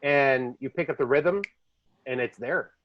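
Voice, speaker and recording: A man's voice: medium pitch, unhurried, lo-fi sound, a little hiss or hum. A man hosting a podcast, telling rambling stories in a casual chat. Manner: rambling storyteller, jumps between languages. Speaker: podcast host. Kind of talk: casual conversation.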